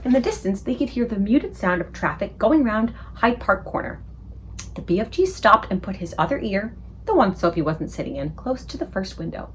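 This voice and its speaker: A woman reading a children's book aloud as an expressive storyteller for a book club. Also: medium pitch, moderate pace, a little hiss or hum.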